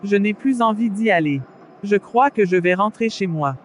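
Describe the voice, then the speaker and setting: A performer playing a worldly but soft-hearted young woman who loves parties, in a scripted animated drama. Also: medium pitch, normal speed, slight background noise, close to an everyday voice. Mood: hesitant. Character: worldly young female party-goer, wavering, soft-hearted.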